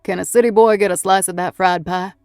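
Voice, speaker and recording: A woman's voice: medium pitch, steady tone, brisk, darkish tone, clear, resonant tone, no echo, faint music behind, lightly acted. A woman voicing a guarded male American federal agent in an audiobook, with a dry, laconic manner. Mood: wry, teasing. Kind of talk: audiobook character voice.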